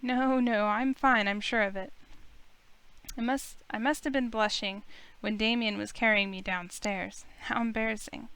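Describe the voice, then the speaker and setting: A woman's voice: a little high, fairly even intonation, measured pace, fairly bright tone, clean tone. A woman playing a wry, self-conscious young heroine, narrating in the first person and speaking dialogue in a visual novel game. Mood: hesitant, teasing.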